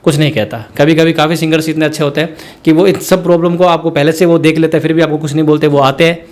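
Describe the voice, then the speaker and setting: Fast-talking man explaining sound gear. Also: a little high, flat delivery, darkish tone. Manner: rambling, explanatory, addresses viewers as friends. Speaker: musician teaching sound setup. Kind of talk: spoken tutorial.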